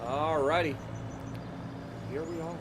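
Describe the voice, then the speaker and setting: Man, stuffy nose, nasal, slightly high voice, relaxed gameplay commentary, noise behind. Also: takes it slowly. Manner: easygoing, thinking aloud with fillers. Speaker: gaming YouTuber. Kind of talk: casual let's-play commentary.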